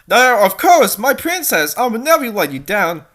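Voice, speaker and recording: An earnest young hero guarding his princess, played by a woman, high and forceful. He makes urgent, overblown declarations that swing widely in pitch, as in a mock-dramatic fan fiction reading. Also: sing-song, normal speed. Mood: devoted. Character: earnest heroic male protector of a princess.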